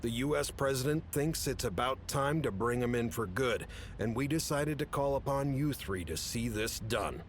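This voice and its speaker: A man playing an authoritative, businesslike chief who briefs agents in a measured way in an audio drama. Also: expressive, natural voice. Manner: authoritative, measured, matter-of-fact. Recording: rumbly background noise.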